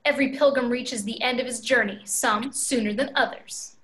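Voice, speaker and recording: A woman's voice: a little high, fairly even intonation, moderate pace, a bit bright, slight background noise, close to an everyday voice. A woman playing a fierce, taunting female villain in a table read over a video call. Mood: scornful, menacing.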